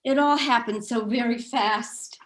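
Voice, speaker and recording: A woman's voice: fairly high pitch, measured pace, fairly bright tone, poor audio. Woman as stubborn, self-righteous suburban housewife. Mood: evasive. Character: stubborn, disapproving, opinionated 1960s suburban housewife. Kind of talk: staged reading of a satirical play. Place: video call.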